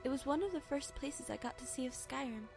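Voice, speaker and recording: An actress playing a troubled young woman in a game, speaking softly and earnestly about her past. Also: high-pitched, fairly quick, gravelly. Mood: wistful. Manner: wistful, soft-spoken, earnest. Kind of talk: game cutscene voice acting. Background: music.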